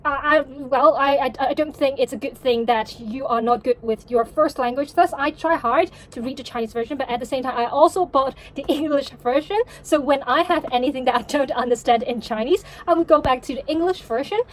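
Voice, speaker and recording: A woman whose first language is Cantonese, chatting casually to a vlog audience in a halting, self-correcting way. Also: high voice, rough recording. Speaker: vlogger and novelist.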